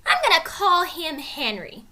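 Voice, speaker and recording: Woman voicing an eager, high-pitched young storybook girl. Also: a little slow, heavily acted. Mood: insistent. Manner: insistent, excitable. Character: eager, stubborn, fearless young girl adventurer. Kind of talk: children's storybook character voice.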